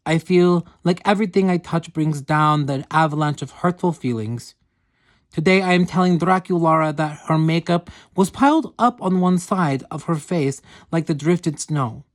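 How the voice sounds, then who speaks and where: A woman's voice: sits low, a little mellow, lightly acted. A woman voicing an earnest young female yeti, reading her diary in stiff, formal, broken English. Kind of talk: reading diary entries aloud.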